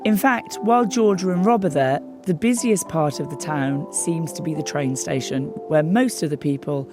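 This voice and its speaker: A woman hosting an investigative podcast, narrating in a measured, deliberate way with a hoarse, croaky voice. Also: low-pitched, music in the background. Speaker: host and investigative journalist. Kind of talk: scripted documentary narration.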